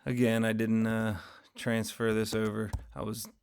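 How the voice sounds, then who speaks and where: A man walks through his fund's daily trades in a loose, rambling recap, his voice low, gravelly and fairly flat. He keeps stopping to correct himself and fill gaps with ums. His voice is getting hoarse as he goes. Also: measured pace. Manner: conversational, rambling, many fillers and self-corrections. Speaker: finance channel host. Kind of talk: casual screen-share market recap.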